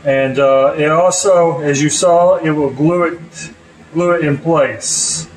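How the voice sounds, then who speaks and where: A man's voice: fairly high pitch, fairly even intonation, slow pace, slight background noise. A middle-aged man hosting a casual hands-on car audio vlog, thinking aloud as he goes. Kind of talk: casual hands-on install and review talk. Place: garage, car and house interior. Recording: roomy echo.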